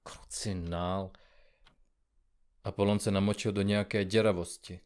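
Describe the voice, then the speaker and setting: A man playing an impatient, grumpy investigative journalist in an audio comedy drama, with a gruff, curt, colloquial manner. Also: low voice, little pitch movement, a little mellow, close to an everyday voice. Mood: impatient, urgent.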